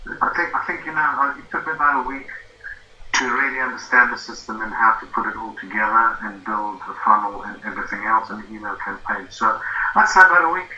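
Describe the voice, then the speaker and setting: A man's voice: on the low side, pushed and bright. A man in his fifties with a South African accent, a guest speaking casually and hesitantly on a video call. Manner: hesitant, many fillers and restarts. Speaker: guest, affiliate marketing student. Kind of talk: casual interview over a call. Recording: lo-fi roomy call audio with faint noise.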